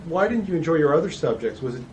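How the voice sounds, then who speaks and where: A man's voice: a little high, very animated, fast, darkish tone, a little hiss or hum, poor audio. A man interviewing a veteran, asking questions with hesitant restarts. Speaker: oral history interviewer.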